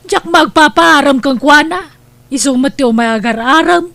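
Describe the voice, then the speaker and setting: A woman's voice: sits high, moderate pace, a bit bright. A woman playing a proud, stubborn elderly mother in an Ilocano comedy drama, dismissive and matter-of-fact. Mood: indignant.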